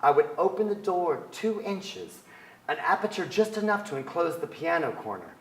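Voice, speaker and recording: A man's voice: a little high, very animated, moderate pace. A man in his forties playing a sensitive, yearning writer confessing boyhood feelings in a theatrical staged reading on a large stage. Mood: yearning confession. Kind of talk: theatrical literary narration. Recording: echoey theater stage sound.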